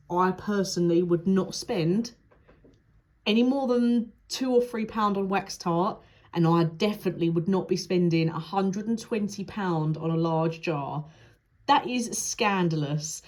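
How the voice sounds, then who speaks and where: A woman's voice: fairly low pitch, unhurried, darkish tone. A young woman reviewing candles in a chatty, frank manner, sounding nasal and sniffly from a cold. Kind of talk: casual product review vlog.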